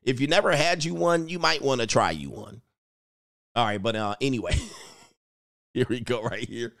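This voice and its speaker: A man's voice: medium pitch, moderate pace, creaky, a bit bright, dry recording, studio quality. A man giving casual, rambling reaction commentary, joking and trailing off mid-thought. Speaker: YouTube commentator.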